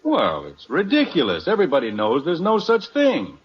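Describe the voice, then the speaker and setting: A man's voice: fairly high pitch, huge pitch swings, moderate pace, slight background noise. An actor playing a stubborn, exasperated family father in an old-time radio comedy, blustering and argumentative. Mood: insistent. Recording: dull old radio recording.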